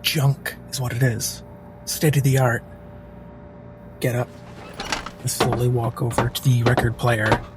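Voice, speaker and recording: A man's voice: medium pitch, little pitch movement, a little slow, long pauses, loud music behind the voice. A man roleplaying in a tabletop game, terse and grumbling, narrating his character's actions. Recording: loud noise behind the voice.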